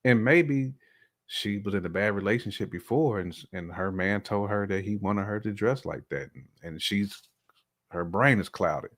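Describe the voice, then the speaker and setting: Casual podcast voice: American man, lower register, colloquial and slangy, leaning on repetition for emphasis. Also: normal speed, fairly bright tone.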